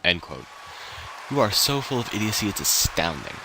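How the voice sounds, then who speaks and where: A man's voice: on the low side, very animated, normal speed, noisy background. A man doing a sarcastic, exaggerated dramatic reading of an online argument. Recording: music playing behind the voice. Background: music.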